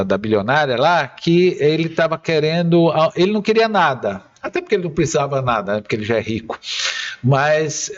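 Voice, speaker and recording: A man hosting a radio talk show, chatting in an animated way and stumbling over repeated words. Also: a little high, lo-fi sound. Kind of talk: radio interview conversation.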